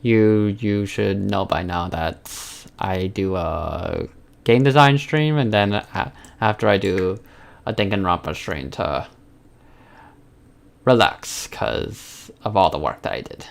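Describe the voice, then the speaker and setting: A man's voice: sits low, slow pace, creaky. A man chatting casually on a live stream as he works, sometimes muttering to himself. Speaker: streamer, game developer. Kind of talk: casual live stream chat while drawing.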